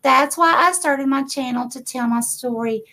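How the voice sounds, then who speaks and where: A woman with a high-pitched voice hosts a live stream and reads viewer comments aloud. She reacts to each one with effusive enthusiasm. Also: normal speed, pushed and bright. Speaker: host of a paranormal interview show.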